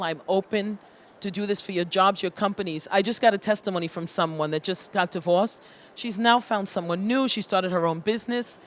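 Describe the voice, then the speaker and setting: A woman gives a motivational talk to an event audience. She tells her story earnestly and urges her listeners to let go. A faint hum of the room sits behind her. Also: mid-range voice. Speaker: guest speaker at a health awareness event.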